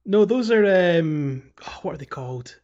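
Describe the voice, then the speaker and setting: A man's voice: a little high, expressive, a little slow, darkish tone, highs cut off. A man co-hosting a podcast in casual game chat, often hesitating and repeating words as he thinks aloud. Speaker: podcast co-host.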